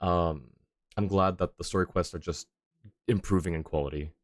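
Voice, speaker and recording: A man's voice: sits low, steady tone, moderate pace, no echo, clean studio sound. A man hosting a game livestream, chatting casually with his viewers in a friendly, apologetic way. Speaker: livestream host, gamer. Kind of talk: casual game stream chat, reading chat and game dialogue aloud.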